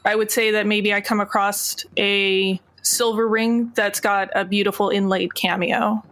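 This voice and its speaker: A woman's voice: mid-range voice, little pitch movement, measured pace, a bit bright. A woman roleplaying casually as a player in a tabletop game, understated and conversational.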